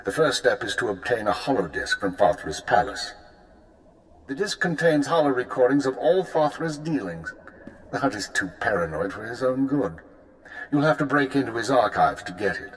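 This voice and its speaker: Man playing a spy chief, formal, measured, dry, mid-pitched. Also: big pitch swings, close to an everyday voice, rough recording. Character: composed male intelligence chief.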